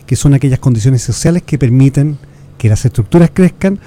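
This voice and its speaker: Chilean male guest expert, quick, dark-toned, earnest explainer. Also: mid-range voice, expressive. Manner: earnest, lecturing, restarts words, uses rhetorical questions. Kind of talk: explanatory interview talk.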